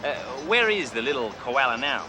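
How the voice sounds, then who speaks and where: An actor playing a detective who poses as a brash, boastful Australian car dealer in a put-on Australian accent, speaking in loud, folksy slang. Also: male voice, medium pitch, very animated, moderate pace, voice pushed up, close to an everyday voice. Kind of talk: sitcom acting.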